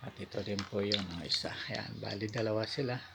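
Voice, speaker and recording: A man with a Filipino accent giving a casual product demonstration as a vlogger, in halting, piecemeal phrases. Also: fairly low pitch, fairly even intonation, vocal fry, heavy background noise. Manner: halting, piecemeal delivery with repeated short filler phrases. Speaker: vlogger reviewing gear.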